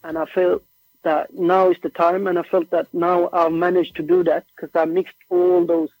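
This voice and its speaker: High gravelly man on a tinny line. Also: normal speed, dry recording, soft background music. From Norway. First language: Norwegian. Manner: relaxed, slangy, says "bro" and "like" often. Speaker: musician guest. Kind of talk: casual interview chat. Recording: tinny phone-like remote line.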